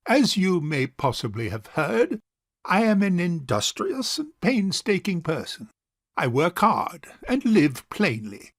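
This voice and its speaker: An actor playing a pompous, self-righteous rich old bachelor uncle in a theatrical period farce. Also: male voice, a little high, gravelly, fairly bright tone, no room sound, clean studio sound, heavily acted. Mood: pompous, self-satisfied. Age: older. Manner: pompous, deliberate, orating. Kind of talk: theatrical period farce audio drama acting.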